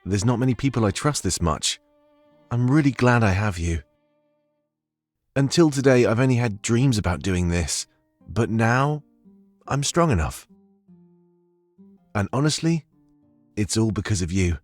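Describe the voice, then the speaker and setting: A man's voice: on the low side, expressive, a little slow, long pauses, no room sound. An actor playing an earnest, haunted young man, a loyal companion in a visual novel game, confiding with heartfelt emotion. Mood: grateful, earnest. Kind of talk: scripted visual novel character dialogue. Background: background music.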